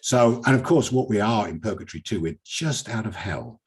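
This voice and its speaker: A British man hosting a poetry reading, reciting and commenting in an emphatic, expressive, theatrical manner. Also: on the low side, brisk, slightly rough. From Britain.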